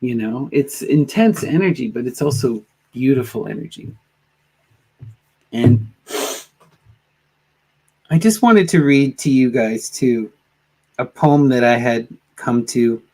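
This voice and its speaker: A man hosting a live tarot stream, talking in a slow, gentle way with soft trailing endings, sniffly from allergies. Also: mid-range voice, expressive, breathy edge, low-quality recording. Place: home in a wooded area.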